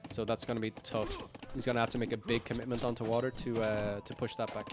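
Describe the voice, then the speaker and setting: Male game caster, mid-pitch, croaky, casual rambling play-by-play, music underneath. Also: little pitch movement, quick pace. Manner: rambling and upbeat, frequent "uh" fillers, self-corrections. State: croaky, tired voice late in a long stream. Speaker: esports caster. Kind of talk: live play-by-play game commentary.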